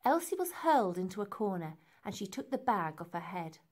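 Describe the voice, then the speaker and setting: A woman reading a children's story aloud in an expressive, deliberate and emphatic way. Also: medium pitch. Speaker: storybook reader.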